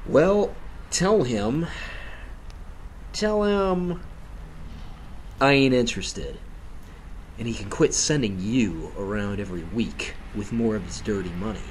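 Defiant bar owner, slow and lowish, dry humor, music in the back. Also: male voice, lively intonation, a little hiss or hum. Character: stubborn speakeasy owner, grown man, wry. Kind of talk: 1920s old-time radio drama acting.

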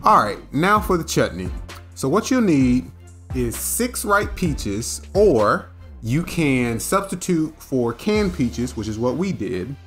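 A man hosting a cooking demonstration, reading a recipe's ingredients and steps in a measured, instructive way. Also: mid-range voice, sing-song, fairly bright tone, faint music behind.

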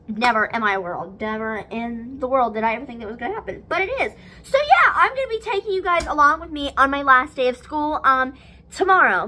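A woman's voice: on the high side, pushed and bright, a little hiss or hum. A teenage girl vlogging casually from her living room, rambling with lots of fillers into a phone camera. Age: teens. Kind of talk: casual vlog chat. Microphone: phone camera at arm's length.

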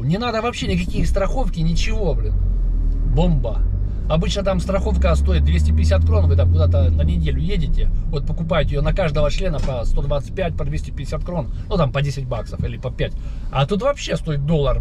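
A man vlogging from behind the wheel in a casual, rambling monologue full of fillers and restarts. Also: on the high side. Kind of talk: casual monologue while driving. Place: in a car. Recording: loud car noise. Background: car and street noise.

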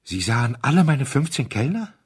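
A man's voice: mid-range voice, sing-song, brisk, darkish tone, breathy edge, pitched above the performer's own voice. A man voicing an anxious, agitated hotel owner who gasps asthmatically and stammers in a storybook reading. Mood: shocked. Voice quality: wheezing, gasping. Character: anxious, asthmatic hotel owner, wringing his hands helplessly.

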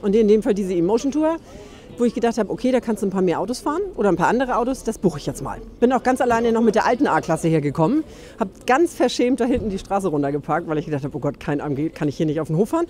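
A woman's voice: medium pitch, expressive, very fast, darkish tone. A middle-aged German woman from Hamburg telling a candid, self-deprecating story about her car in a casual interview outdoors. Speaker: car enthusiast and event organizer.

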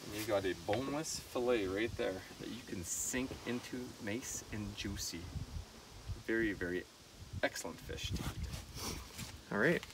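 A middle-aged man with a fairly low voice talks through a job at an outdoor table in a relaxed, practical way. He is picked up by a camera mic that sits a little away from him. Faint outdoor noise runs underneath. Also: measured pace, pauses often, far from the mic. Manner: relaxed, talks while working, repeats "see that". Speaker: fishing video host. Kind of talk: hands-on filleting demonstration. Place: outdoor fish cleaning table.

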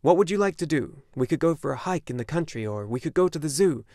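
A jovial, doting father full of big plans, as a male narrator puts him on. The voice rises above the narrator's own and rings out brightly in a dry, close recording. A warm-hearted storybook parent. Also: a little high, expressive, fast, no echo, pitched above the performer's own voice. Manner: jovial, expansive. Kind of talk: audiobook character voice.

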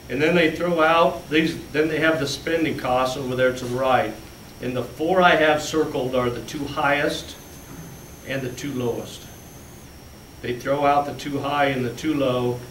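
Plainspoken man at a meeting, far off. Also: medium pitch. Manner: plainspoken, walks listeners through handouts step by step. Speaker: school business official presenting budget. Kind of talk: explaining figures at a school board meeting. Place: large meeting room with fluorescent lights. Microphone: far room camera. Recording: echoey room, distant mic, faint hum.

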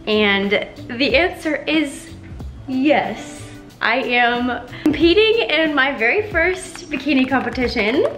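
A woman's voice: medium pitch, fairly bright tone. A young woman chatting upbeat and casually to the camera in her home kitchen, often saying "like". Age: young adult. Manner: chatty and upbeat, frequent "like" fillers. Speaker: fitness vlogger. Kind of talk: casual vlog talk to camera. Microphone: camera at arm's length, then camera on a tripod.

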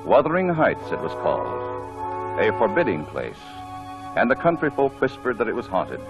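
A man's voice: lowish voice, huge pitch swings, normal speed. A man announcing in a formal, dramatic 1940s radio style from a script. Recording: dull old radio broadcast, music behind.

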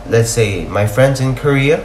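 A man's voice: mid-range voice, a little slow, darkish tone. A young man with a Filipino English accent, chatting casually as he reacts to a video, loose and full of fillers, recorded on an earphone mic in a small room. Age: young adult. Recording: roomy echo, faint hiss.

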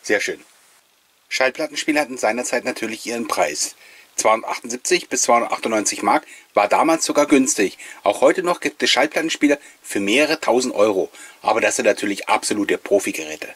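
A man's voice: medium pitch, lively intonation, a little fast, a bit bright, slightly rough, no echo. A middle-aged man giving a casual, nostalgic voice-over commentary, reminiscing with wistful enthusiasm as the host. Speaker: host of a collectors' video channel.

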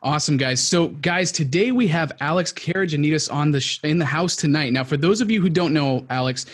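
A young American man hosting a podcast in an upbeat, chatty style, speaking close to the microphone on a video call. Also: fairly high pitch. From New England, USA. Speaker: podcast host. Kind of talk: upbeat podcast introduction and chat.

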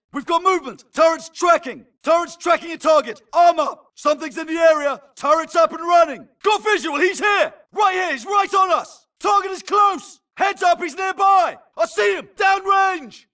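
A man's voice: extremely high pitch, sharp tone, recorded from a distance. A male actor playing an aggressive infantry soldier in a shooter game, barking urgent, clipped military callouts. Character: frantic, aggressive infantry soldier under fire, adult man.

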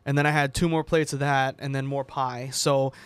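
A man's voice: medium pitch, little pitch movement, normal speed, clean tone, no echo. A young man co-hosting a sports show in a studio, chatting casually and rambling with fillers and repeats. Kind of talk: casual chat. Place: small TV studio, indoors. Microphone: desk mic on boom arm.

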